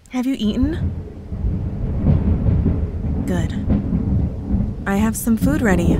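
A woman's voice: medium pitch, background noise, close to an everyday voice. A woman playing a cheerful, evasive young girlfriend who is secretly a witch, in a playful ASMR roleplay with feigned innocence. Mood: caring, cozy. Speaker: audio roleplay voice actress. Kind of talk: ASMR roleplay, scripted one-sided dialogue.

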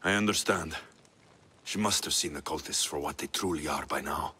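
A man's voice: extremely low pitch, moderate pace, pushed and bright, slightly rough, full character voice. An actor playing a brooding Greek mercenary in his thirties in a video game, intense and resolute. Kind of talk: video game cutscene acting.

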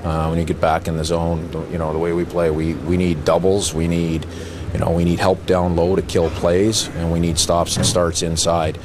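A middle-aged man, a hockey head coach, answering reporters at a postgame press conference in a halting way, with many fillers and restarts. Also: deep voice, level tone, background noise. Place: arena press backdrop, indoors. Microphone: handheld reporter mics held close.

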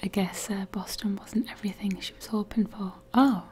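A woman's voice: mid-range voice, little pitch movement, fairly quick, dark tone. A woman whispering playful, bossy commentary while playing a life-sim game. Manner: whispering, wry and bossy toward game characters. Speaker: gamer.

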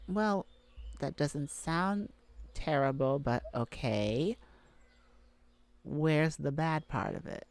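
Slow, low-voiced tender mage played by a woman. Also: heavily acted. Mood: concerned. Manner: affectionate, gentle, full of endearments. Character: tender, caring mage gardener devoted to their werewolf mate. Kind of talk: ASMR roleplay audio drama.

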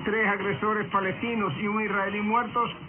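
A man with a high voice presents a radio news bulletin. He speaks as a program host reading prepared copy to his listeners. The sound is thin and narrow shortwave, with clear music playing underneath. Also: flat delivery, moderate pace. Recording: tinny shortwave radio broadcast with music behind.

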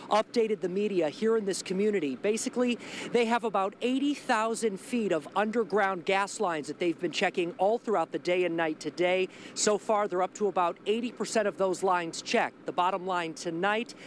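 A man in his thirties reporting live outdoors at night with a handheld mic, brisk and earnest. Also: high voice, slight background noise. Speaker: field reporter. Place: outdoors at night in a residential street.

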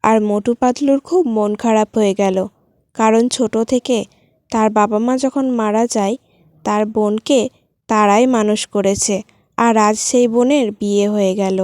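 A woman's voice: medium pitch, steady tone, normal speed, a little mellow, smooth, dry recording, studio quality. A woman narrating a scripted cartoon story.

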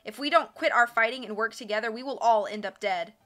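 Lady reading as a bookish storybook boy, urgent and earnest, bright voice. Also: fairly high pitch, a little fast, soft background music, natural voice.

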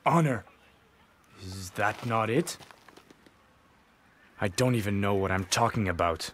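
A man playing an earnest, brooding young samurai in a video game, speaking gravely and introspectively. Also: fairly low pitch, a little slow, slight background noise, natural voice. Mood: unsure. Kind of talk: solemn video game voice acting. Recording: game cutscene with clear music behind.

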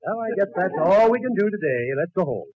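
An exasperated, blustering uncle who runs repair jobs in a 1940s radio comedy. The voice is high and swings widely, with a dry vintage broadcast sound. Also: male voice, big pitch swings, brisk, no echo, dull audio. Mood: brisk. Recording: old 1940s radio recording.

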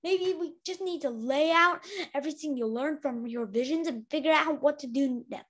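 A curious female dragon read by a woman, high in pitch and gently persistent. She coaxes and questions eagerly, as a storybook sidekick. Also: normal speed. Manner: inquisitive, coaxing.

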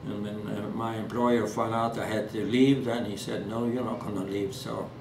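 An elderly man in his eighties from Norway, speaking English with a Norwegian accent in an oral history interview at home, halting with many fillers and restarts. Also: lowish voice, little pitch movement. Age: 80s. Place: living room at home. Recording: echoey room, distant mic, background hiss.

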